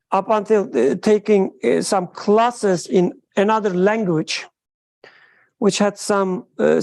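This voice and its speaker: Slow, mellow woman. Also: medium pitch. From Turkey. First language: Turkish. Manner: halting, searching for words. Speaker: study circle participant. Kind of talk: group discussion.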